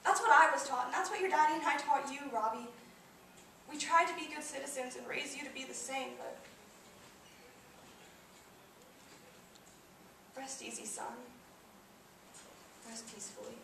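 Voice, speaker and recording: A woman's voice: sits high, creaky. Woman acting grieving soldier's mother in echoey hall. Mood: bitter, grieving. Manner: solemn, deliberate, halting phrases. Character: grieving mother of a fallen soldier, plainspoken, steadfast. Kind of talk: dramatic stage monologue. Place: indoor performance space, recorded from afar. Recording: very echoey hall, distant mic, faint noise.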